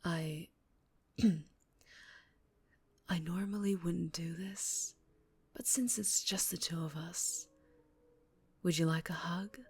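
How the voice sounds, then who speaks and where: A woman playing a dangerously charming female mafia boss in an intimate ASMR roleplay, ruthless yet tender, speaking close and hushed with condescending affection. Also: on the low side, takes it slowly, pauses often, breathy edge, heavily acted. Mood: sympathetic, gentle. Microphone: close-up microphone.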